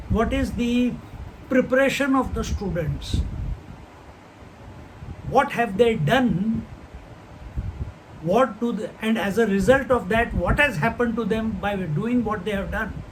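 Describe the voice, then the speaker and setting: An older man gives a scripture class in a fairly high voice, asking his listeners question after question. A faint hiss of noise sits under his voice. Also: low-quality recording. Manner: unhurried, posing rhetorical questions; chants Sanskrit verses slowly. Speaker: spiritual teacher.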